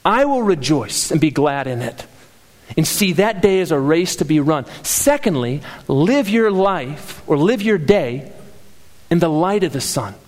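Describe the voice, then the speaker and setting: A man with a notably high voice preaches an earnest sermon, stacking repeated phrases to build his points. His voice rings out with a long reverb, as in a large church hall.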